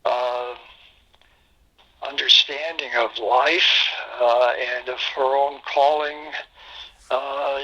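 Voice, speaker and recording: A pastor in his eighties recalls the past in a halting, reflective way, pausing often on fillers. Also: mid-range voice, pushed and bright, husky, muffled recording. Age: 80s. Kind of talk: oral history storytelling.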